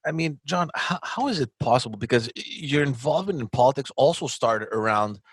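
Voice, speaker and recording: A man's voice: medium pitch, animated, a little fast, husky, dry recording. A man hosting a podcast, asking earnest, searching questions with some restarts. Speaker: podcast host. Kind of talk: interview questions.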